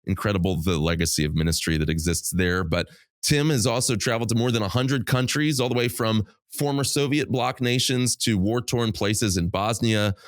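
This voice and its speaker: Man with a dry, lower-than-usual voice. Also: moderate pace, no room sound. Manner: upbeat, promotional, warmly welcoming. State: getting over a cold, voice lower than usual. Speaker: podcast host. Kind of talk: scripted podcast intro and interview.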